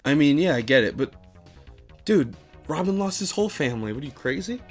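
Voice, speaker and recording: A man's voice: on the high side, very animated, fairly quick, soft background music. Casual male podcast co-host with slangy banter.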